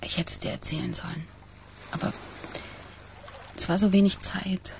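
A woman playing a candid, rueful young woman with a rough past in a radio crime drama, speaking in clipped colloquial sentences. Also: lowish voice, lively intonation, normal speed, a little hiss or hum. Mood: confessional.

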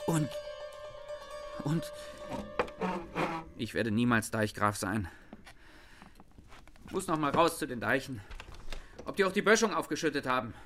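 Schauspieler als ernster junger Bursche, lebhafte Stimme. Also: male voice, mid-range voice, lively intonation, measured pace, long pauses, over music, lightly acted. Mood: stern, zealous. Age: young adult. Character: earnest, strict, diligent young farm lad, quick at sums. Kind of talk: radio play acting.

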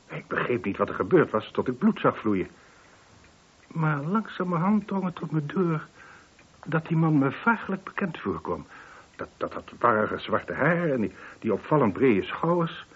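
A man's voice: mid-range voice, fairly bright tone, breathy edge, exaggerated voice. Muffled, weary older man in a radio play. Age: late 50s. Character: weary, defensive man nearing sixty, wealthy gun maker. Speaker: radio drama actor. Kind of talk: Dutch radio play acting. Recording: muffled, band-limited old radio recording.

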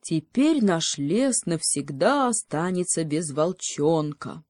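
A woman's voice: low-pitched, animated, slow pace, darkish tone, dry recording, exaggerated voice. A woman voicing a mournful male moose in a children's story, lamenting in a funereal tone. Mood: mock mourning.

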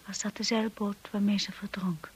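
An insecure young wife in a radio play, her voice breathy and fairly low. She confides hesitantly and earnestly, often dropping into a hush. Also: little pitch movement, normal speed. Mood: hesitant, probing. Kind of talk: Dutch radio drama acting.

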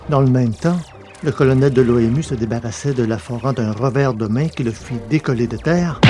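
A man narrating a science-fiction adventure novel as a scripted audiobook reading. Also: mid-range voice.

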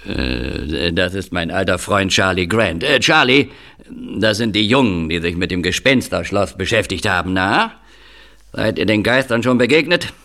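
A man playing a gruff, evasive middle-aged agent with a guilty secret in an audio drama, speaking haltingly. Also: sits low, expressive, bright, forceful tone, exaggerated voice. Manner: hesitant, halting with interjections.

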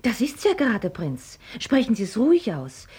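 Radio drama: proud, pert rich daughter, young, articulate and mocking, highly varied melody. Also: female voice, wildly swooping pitch, normal speed, dark tone, breathy edge, full character voice. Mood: ironic, candid. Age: young adult. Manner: articulate, mocking, ornate phrasing. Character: pert, sharp-tongued young heiress, witty and proud. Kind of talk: German radio play acting.

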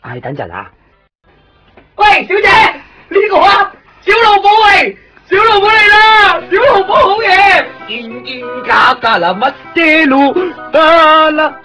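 A man acting an eager, chatty toy shop owner in a radio drama, rambling excitedly in Hong Kong Cantonese. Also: very high-pitched, unhurried, full character voice, poor audio. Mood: gentle, hospitable, then excited, shouting. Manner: excitable, rambling, fond of showing off toys. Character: eager, chatty male second-hand toy shop owner, kind to customers. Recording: band-limited 1990s radio recording with faint music.

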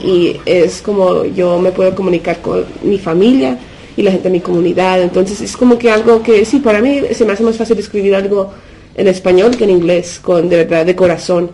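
Woman, US-raised native Spanish speaker, radio guest, creaky voice, halting delivery, English fillers, faint background noise. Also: medium pitch, warm tone, low-quality recording. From United States. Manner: halting, searching for words, slips into English fillers. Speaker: interview guest from a punk collective. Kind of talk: unscripted radio discussion.

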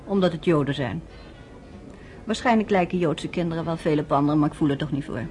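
A nurse in her late twenties answers in a reserved, guarded way, played by a woman. The voice is mid-pitched and restrained. Faint music sits beneath it in this radio drama. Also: fairly quick, a little mellow, close to an everyday voice. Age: late 20s. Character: guarded young widowed nurse. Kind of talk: radio play acting.

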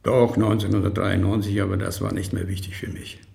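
An actor playing a weary, resentful old man giving testimony in a radio drama, deliberate and terse, with a creaky, gravelly voice. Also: deep voice, little pitch movement, a little mellow. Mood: weary, resigned.

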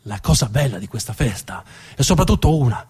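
A man's voice: medium pitch, very animated, fairly quick, darkish tone, slightly rough. A man narrating as a stage storyteller, dramatic in delivery and repeating words for emphasis.

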